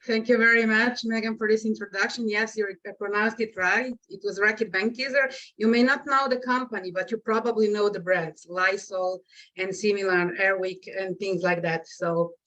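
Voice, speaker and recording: A woman's voice: medium pitch, fairly even intonation, moderate pace, sharp tone. Slovenian woman with hesitant fillers. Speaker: guest LinkedIn coach. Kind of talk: webinar presentation. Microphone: video call. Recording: muffled video call audio.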